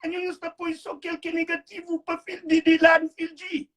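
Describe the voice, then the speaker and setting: A man's voice: extremely high pitch, completely flat delivery, fairly quick, a bit bright. A man hosting a vlog, talking in a heated, repetitive way with emphatic outbursts. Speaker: vlog host. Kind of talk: heated casual talk.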